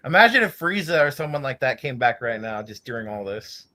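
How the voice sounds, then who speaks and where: Bright animated man, quick to argue. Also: mid-range voice. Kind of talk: casual group chat.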